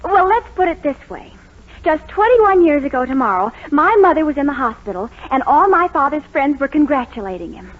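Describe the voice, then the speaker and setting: A young American sweetheart speaks in a very high, swooping voice. She is coy and gushy, wheedling with exasperated hints. The sound is a muffled 1940s radio comedy recording with some audience behind. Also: sing-song, fairly quick.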